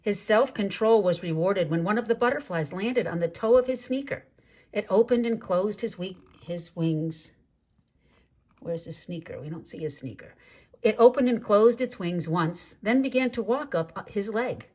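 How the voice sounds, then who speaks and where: A woman reading a children's story aloud in an expressive, deliberate way with dramatic phrasing. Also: mid-range voice. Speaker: storybook reader. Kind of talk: read-aloud children's story narration with asides.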